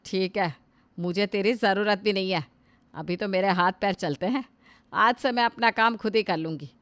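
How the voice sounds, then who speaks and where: A woman's voice: medium pitch, normal speed, a bit bright, no echo, natural voice. A woman playing a weary elderly mother-in-law. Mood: resentful. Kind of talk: Hindi family drama acting.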